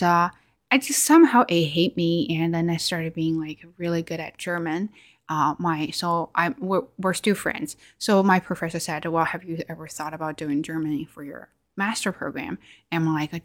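A young woman with as her chats in English on her own podcast. Her voice sits a little low, and she talks thoughtfully, often backing up to restart a phrase or correct herself. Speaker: podcast host. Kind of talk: casual solo chat to listeners.

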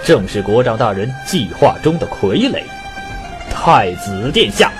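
A man's voice: mid-range voice, very animated, measured pace. A man voicing a loyal, confident court commander, formal and scornful, in a declamatory Chinese costume radio drama. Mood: scornful triumph. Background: background music.

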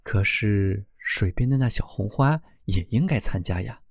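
A man voicing an ancient male oak tree in a storybook tale, speaking in a lowered, slow and wondering voice. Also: expressive, gravelly, no echo, studio quality, full character voice. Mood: longing.

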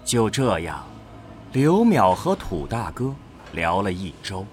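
A man with a husky voice narrating a scripted science-fiction audio drama. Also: fairly low pitch, big pitch swings, slow pace, faint music behind.

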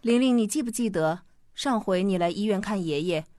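A woman playing a patient mother who explains things gently in a radio drama. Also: medium pitch, moderate pace, natural voice. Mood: patient, explaining.

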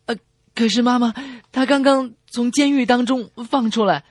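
A man's voice: extremely high pitch, normal speed, dry recording, studio quality, pitched above the performer's own voice. A man voicing a hesitant newlywed daughter confessing to her mother in a comedy radio sketch.

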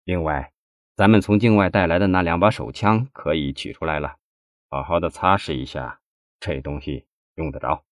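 A man's voice: sits low, normal speed, dry recording, clean studio sound, voice pushed down, lightly acted. A man voicing a troubled, anxious husband who talks with heavy earnestness and command in an audiobook. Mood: firm, tense. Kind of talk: audiobook character dialogue.

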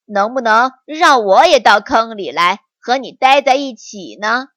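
A woman voicing a big, easily frightened male tiger in a children's story, speaking loudly and earnestly. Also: on the high side, expressive, measured pace, no echo, clean studio sound. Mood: grateful.

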